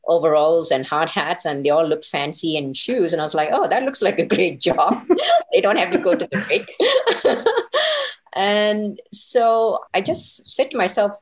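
Middle aged woman guest chatting about her own life, low voice, acts out her thoughts as she goes. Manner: animated storyteller, acting out her own thoughts in quoted asides. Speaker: interview guest, consultant and business owner. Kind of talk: conversational career storytelling.

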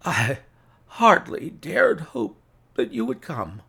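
A man voicing a gravely sick man who gasps weakly and pleads haltingly for help in an audiobook story. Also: mid-range voice, sing-song, bright, forceful tone, full character voice. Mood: feeble gratitude. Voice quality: labored, gasping, whispery.

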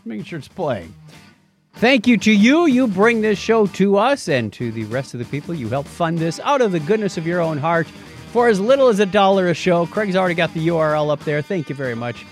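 Animated man hosting a casual podcast. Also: high-pitched, fairly quick. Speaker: podcast co-host. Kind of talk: casual podcast banter and announcements. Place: podcast studio. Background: music.

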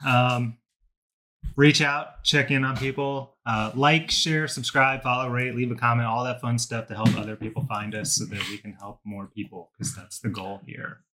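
A man's voice: mid-range voice, fairly even intonation, moderate pace, bright, forceful tone, slight background noise, low-quality recording. A man hosting a podcast in a casual, unscripted way, informal and self-mocking. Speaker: podcast host.

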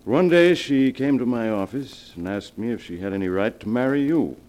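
An actor playing a grave family doctor in 1940s radio melodrama, solemn, measured and authoritative. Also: male voice, medium pitch, animated, darkish tone, muffled recording, natural voice. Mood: regretful, explaining. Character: grave, controlled family doctor breaking bad news. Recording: vintage 1940s radio broadcast.

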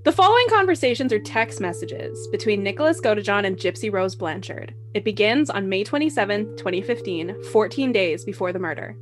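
A woman's voice: mid-range voice, sing-song, a little fast, hardly any pauses. A woman hosting a podcast, narrating with wry comic asides.

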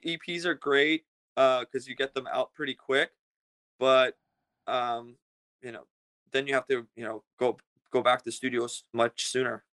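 A man's voice: mid-range voice, sharp tone. A man from Russia, a guest musician in his thirties, answering interview questions casually, full of hesitations and restarts. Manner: hesitant, many fillers and restarts.

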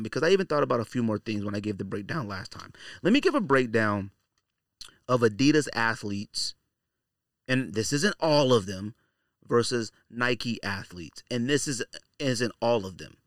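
Male solo podcaster, mid pitch, conversational and opinionated, slangy, repeats himself for emphasis, very dry recording. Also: sing-song, normal speed, fairly bright tone, no room sound. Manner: opinionated, direct address to listeners. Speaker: podcast host. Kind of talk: casual solo podcast commentary.

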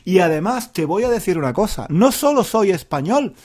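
A man's voice: sits high, sing-song, moderate pace, darkish tone. A man from southern Spain hosts an informal podcast for learners, theatrically repeating phrases and asking rhetorical questions. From Granada, Andalusia, Spain. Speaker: Spanish teacher, podcast host.

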